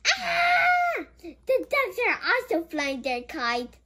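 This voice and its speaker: A woman's voice: extremely high pitch, wildly swooping pitch, slow pace, pushed and bright, raised voice. A female host reading a picture book aloud for children, adding playful comments in a childlike, exclamatory, simple sing-song manner.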